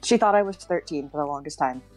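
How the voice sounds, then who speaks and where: A woman's voice: low-pitched, lively intonation, quick pace, darkish tone, soft background music. A woman in her twenties joining a stream call as a guest, chatting casually and with amusement. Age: 20s. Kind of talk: casual chat.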